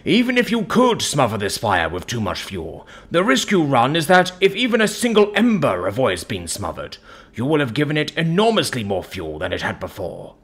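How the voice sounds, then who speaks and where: A man's voice: mid-range voice, huge pitch swings, fairly bright tone, breathy edge, voice pushed up, heavily acted. Casting: serene, devout male adviser, played by a narrator man, earnest and firm, widely swooping intonation, audiobook fiction. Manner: measured, earnest, preaching in metaphors. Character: calm, devout male counsellor, gentle but firm.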